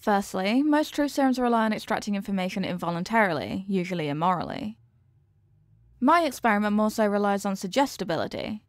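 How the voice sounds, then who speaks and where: A woman's voice: medium pitch, expressive, brisk, dry recording, studio quality, natural voice. A woman playing an earnest, formal young scientist who is fond of her test subject, in a scripted roleplay with precise, clinical wording. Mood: earnest, explanatory. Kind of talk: scripted roleplay addressed to the listener.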